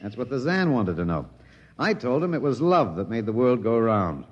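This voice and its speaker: An actor playing a wry, tender male survivor in a 1950s radio drama, musing and gently hesitant. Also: mid-range voice, very animated, moderate pace. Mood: wry, explaining. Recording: dull vintage radio recording, long reverb.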